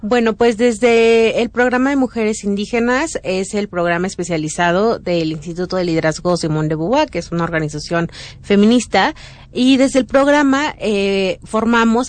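A young adult woman with a central Mexican accent answers as an interview guest. Her voice sits at a middle pitch, and she explains things hesitantly, often feeling for the right word. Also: moderate pace. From Hidalgo, Mexico. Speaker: interview guest, program officer.

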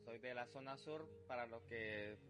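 A man from Honduras with a Honduran accent, speaking in a relaxed, friendly way to his listeners. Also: mid-range voice, normal speed, over music.

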